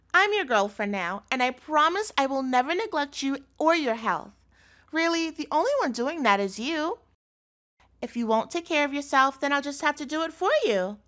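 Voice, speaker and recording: A high, very bright female voice from a woman acting as an obsessive young yandere girlfriend in an audio roleplay. She dotes on her captive listener in a cutesy, possessive way, with menace under the sweetness. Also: lively intonation, moderate pace. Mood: doting, insistent. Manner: cutesy doting tone sliding into menace.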